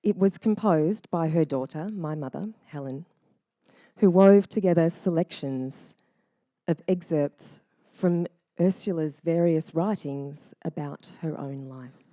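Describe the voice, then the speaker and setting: A woman narrating a family memoir in a measured, reflective first-person reading. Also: low-pitched, mellow, no room sound.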